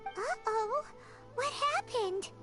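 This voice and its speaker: A woman voicing a tiny, childlike, excitable fairy sidekick in a video game, with a squeaky voice. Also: slow, soft background music, exaggerated voice. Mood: shocked. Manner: refers to self in third person.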